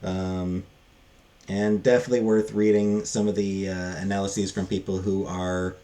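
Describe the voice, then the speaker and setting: Casting: male book reviewer, moderately low pitch, unscripted and casual, halting delivery that rambles, self-deprecating. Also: level tone, mellow.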